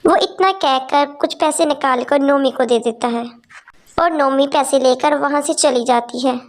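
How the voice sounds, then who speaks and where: A woman's voice: sits high, unhurried. A woman narrating a cartoon story in a plain storytelling tone.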